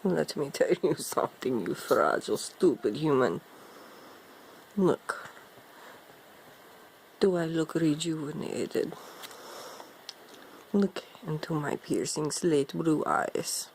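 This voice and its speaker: Woman playing a slow, creaky-voiced seductive vampire. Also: animated, slight background noise, full character voice, rough recording. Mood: scornful, condescending, then seductive, sly. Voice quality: gravelly, low, creaky. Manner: slow, haughty, teasing, calls listener darling. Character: ancient female vampire demon, seductive, condescending, menacing. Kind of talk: improvised in-character role play monologue to viewer.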